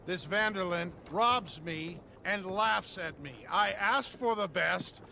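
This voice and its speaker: An actor playing a haughty, ruthless business tycoon in a video game, speaking in a pompous, formal, commanding way. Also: male voice, high voice, slow pace, vocal fry, sharp tone, slight background noise, full character voice. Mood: impatient, scornful. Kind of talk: video game cutscene acting. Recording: music behind.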